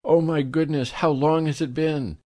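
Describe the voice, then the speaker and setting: A man's voice: fairly high pitch, steady tone, moderate pace, a little mellow, pitched above the performer's own voice, lightly acted. A man voicing a pushy, gushing society woman who does volunteer work, talking in a breathless, fawning, emphatic way.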